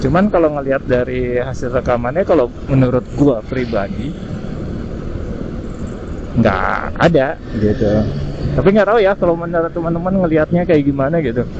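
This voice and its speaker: A man chatting casually through an unscripted review, often pausing to search for words. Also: medium pitch. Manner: hesitant, searching for words, frequent tag words. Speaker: vlogger reviewing gear. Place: outdoors on the road at night. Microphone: helmet intercom microphone. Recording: muffled intercom mic with road noise. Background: vehicle and street noise.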